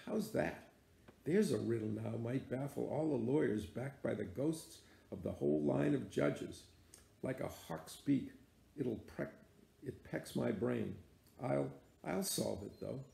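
A man's voice: medium pitch, a little slow, creaky, mellow, echoey room. A man playing a grim, obsessed old sea captain who commands in archaic speech. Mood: muttering.

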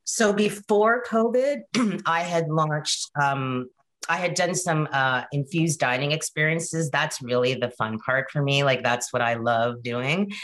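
A woman in her forties from Canada, talking casually on a video call, animated and chatty, often checking in with "right?" and "you know". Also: deep voice, measured pace. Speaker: guest presenter. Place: home room.

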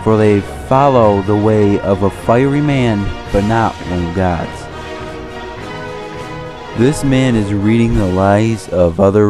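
A man with a fairly low voice narrates a mock 1930s propaganda film. His delivery is solemn and preachy, with formal phrasing, and music plays clearly behind him.

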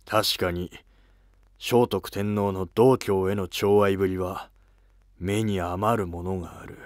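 A man voicing a weary, resentful senior court minister in an animated historical drama, with lofty, curt, archaic speech. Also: low voice, slow, dry recording, clean studio sound, pitched below the performer's own voice. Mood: wary, grave.

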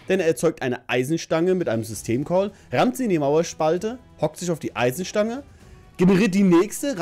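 A middle-aged man with a fairly high voice talks through a story recap like a vlogger at his desk. His delivery is colloquial and chatty, with frequent hesitations as he goes. Music plays faintly behind him. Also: darkish tone. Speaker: host of an anime review channel. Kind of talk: casual spoken episode recap to camera. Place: small home office room. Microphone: desk condenser microphone on a stand.

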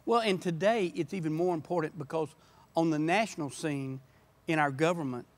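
A man's voice: a little high, a little fast. An older man speaking earnestly and passionately as a church member in a podcast conversation. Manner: passionate, emphatic. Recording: podcast audio.

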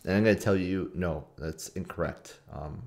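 A Canadian man in his late thirties speaks in a deep register. He tells a personal story in an informal, conversational way, often repeating a phrase to drive it home. It has the feel of a video host talking straight to his followers from a room at home. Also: moderate pace, creaky, darkish tone. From Toronto, Canada. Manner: informal, conversational, with repetition for emphasis. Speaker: trader and trading community video host. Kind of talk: casual personal storytelling to camera.

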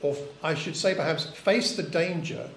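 An older man delivers a sermon from the front of a church, earnest and reflective, leaning on rhetorical questions. His voice sits a little high for a man. The room is echoey, with a faint hum of ambience behind him. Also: moderate pace, a bit bright. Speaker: preacher. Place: church hall.

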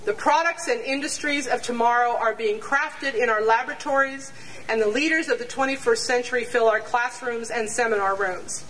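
An older woman reading a prepared statement aloud to a rally on an outdoor lawn, measured and deliberate, sometimes stumbling and restarting over numbers. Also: on the high side, fairly bright tone. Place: outdoor campus lawn before a large crowd. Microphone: standing mic on stand, picked up from a distance. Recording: distant outdoor pickup with crowd noise.

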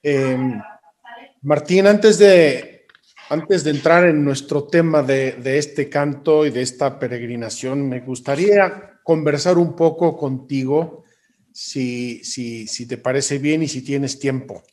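Slow, courteous priest hosting an interview. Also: male voice, medium pitch, darkish tone. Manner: courteous and measured, with polite thanks.

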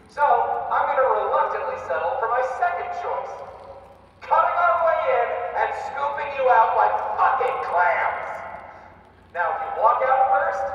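Sardonic boss, distant and booming with echo. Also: female voice. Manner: sarcastic, theatrical, threatening. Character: sardonic, foul-mouthed, menacing boss commanding a siege. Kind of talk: audio drama acting. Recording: distant, muffled, very echoey hall.

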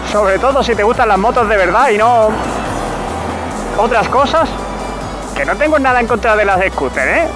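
A man with a fairly high voice rides a motorbike through city streets, talking casually about the bike and laughing at himself. He is picked up by a helmet camera mic, with clear background music under the talk. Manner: chatty, joking, laughs at himself. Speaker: motovlogger. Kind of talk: casual motorcycle review while riding. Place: outdoors riding a motorcycle in city traffic.

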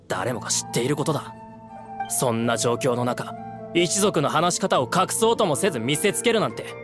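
A man's voice: on the high side, lightly acted. An actor playing an indignant, distrustful young townsman in an anime game, speaking in a curt, accusing manner. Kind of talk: Japanese anime game voice acting.

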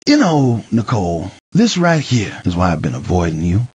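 A man voicing a hot-tempered, aggrieved ex-husband in an audiobook, heated and emphatic, with a husky, strained voice. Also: lowish voice, huge pitch swings, moderate pace, darkish tone, dull audio, heavily acted. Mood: irritated, accusing.

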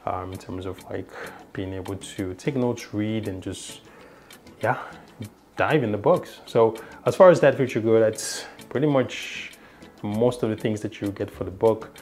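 A young man with a low voice, not a native English speaker, talks through a gadget's features in a casual review. He rambles, restarts and leans on fillers. He is seated in a small room indoors. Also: unhurried, darkish tone, a little hiss or hum. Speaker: tech reviewer. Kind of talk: casual product demo.

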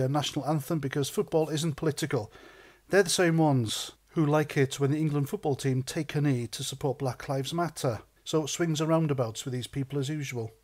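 North Welsh vlogger, middle-aged, slightly high voice, indignant and sweary. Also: male voice, a little mellow. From North Wales. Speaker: vlogger, ex-soldier. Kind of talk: opinion rant to camera.

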